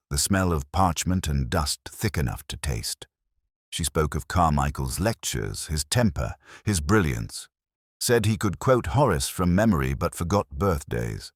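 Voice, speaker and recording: A man reading a scripted first-person detective story in a measured, literary, reflective manner. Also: low voice, no room sound, studio quality. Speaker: narrator.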